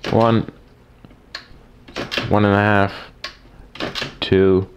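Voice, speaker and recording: A man with a raspy voice, recovering from a cold, casually reviewing a typewriter at his desk, rambling with fillers and restarts. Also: fairly low pitch, little pitch movement, very slow, muffled recording, background noise. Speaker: typewriter collector reviewing a machine. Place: small room at a desk, indoors. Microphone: camera mic, speaker off-camera.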